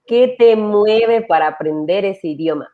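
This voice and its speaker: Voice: medium pitch, unhurried. Interviewer with muffled audio. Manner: supportive, short backchannel replies. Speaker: interviewer host. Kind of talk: casual interview.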